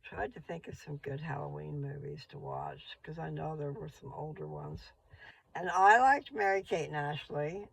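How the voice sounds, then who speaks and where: An older woman with a rough, gravelly voice, rambling slowly and chattily as she vlogs from her parked car. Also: deep voice, big pitch swings, poor audio. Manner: meandering, slow, chatty. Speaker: vlogger. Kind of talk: rambling casual vlog.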